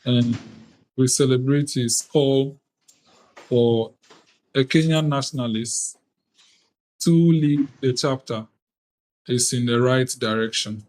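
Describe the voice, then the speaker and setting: A man from Ghana with a Ghanaian accent, convening an online youth parliament on a video call in a deliberate, formal way. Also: medium pitch, darkish tone, poor audio. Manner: deliberate, measured, reads out lists of names. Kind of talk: formal ceremonial address.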